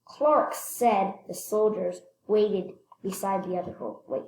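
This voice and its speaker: A woman reading a children's picture book aloud expressively, stressing words and pausing to point things out. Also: mid-range voice, darkish tone, roomy sound, poor audio. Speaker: read-aloud storyteller.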